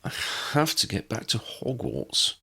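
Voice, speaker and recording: A man reads a studious wizard in a storybook, measured and articulate. His intonation rises and falls widely around each considered phrase. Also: medium pitch, sing-song, vocal fry, sharp tone, husky, full character voice. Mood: matter-of-fact.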